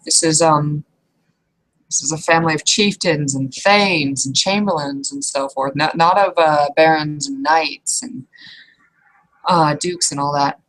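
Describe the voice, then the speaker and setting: A woman narrating a tabletop roleplaying game as game master in a halting, conversational, improvised way. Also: low-pitched, a bit bright, poor audio. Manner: halting, conversational, piecing descriptions together. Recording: clear background noise.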